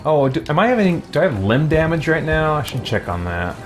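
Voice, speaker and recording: A man's voice: on the high side, big pitch swings, fairly quick, music in the background. A man giving casual, conversational gameplay commentary, thinking aloud as he plays. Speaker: let's play host.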